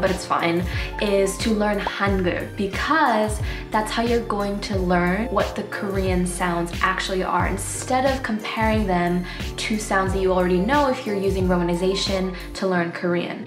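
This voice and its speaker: A woman's voice: medium pitch, echoey room. A young woman whose first language is Nepali, giving casual tips in a chatty vlog full of fillers. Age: young adult. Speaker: vlogger.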